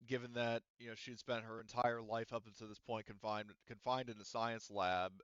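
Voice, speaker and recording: A man's voice: fairly low pitch, level tone, normal speed, fairly bright tone, clear, resonant tone, dry recording, dull audio. A man running a tabletop game as game master, talking casually with fillers and casual swearing.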